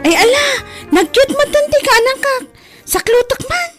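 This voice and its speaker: A woman acts out a fussing, scolding female relative with an Ilocano accent. Her very high voice jumps around animatedly, in a radio comedy drama with faint music behind. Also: animated, normal speed.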